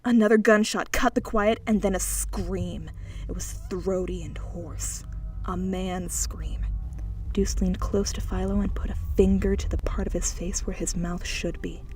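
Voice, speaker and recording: A woman's voice: medium pitch, fairly even intonation, a little slow, a little mellow, faint music behind, rough recording. A woman reading an audiobook, narrating scripted fiction in a dramatic style.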